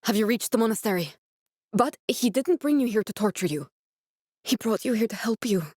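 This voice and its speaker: A actress playing a woman scientist in a video game, guarded and earnest. Her delivery is measured and clinical, with a dry edge. Her medium-pitched voice sits in a very dry, close recording with no room sound. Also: a little mellow, dry recording, lightly acted. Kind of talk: video game character voice acting.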